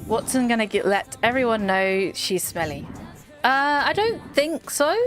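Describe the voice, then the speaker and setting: Woman, game streamer, slight cold, giggly, lively up-and-down pitch, talks to chat. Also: slow, soft background music. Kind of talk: casual live-stream chat.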